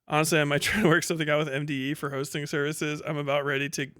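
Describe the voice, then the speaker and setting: A man with a fairly high voice talks as a livestream host, close and dry with little room sound. He is casual, sweary and slangy, commenting on posts with a mocking edge. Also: flat delivery, racing, fairly bright tone, smooth, dry recording. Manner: often breaks into laughter.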